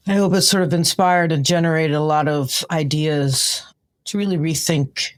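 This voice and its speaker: A woman with a New York accent, explaining her work as a guest in an interview. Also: very deep voice. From New York, United States. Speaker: podcast guest, consultant and trainer.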